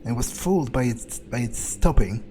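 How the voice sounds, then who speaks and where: A man's voice: medium pitch, lively intonation, moderate pace, mellow, slight background noise. A man thinking aloud in character during a video game playthrough, musing casually to himself and swearing freely. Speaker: gamer playing in character as a vampire. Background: music behind the voice.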